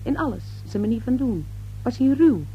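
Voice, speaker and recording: An actress playing a pushy, sly young newspaper reporter who probes and insinuates in a radio play. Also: female voice, a little high, expressive, moderate pace, slightly rough, dull audio. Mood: insinuating. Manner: insinuating, probing, brazen.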